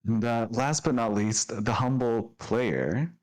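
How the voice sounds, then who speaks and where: A man's voice: medium pitch, slow, darkish tone. A man acting as dungeon master, introducing the players and narrating the scene with upbeat energy. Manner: upbeat, laughing, descriptive narration.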